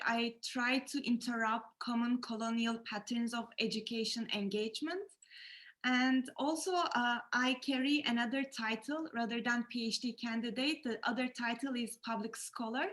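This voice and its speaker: Young woman from Turkey, PhD candidate, slightly high voice, measured and careful, video call. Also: a bit bright. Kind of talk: online panel self-introduction.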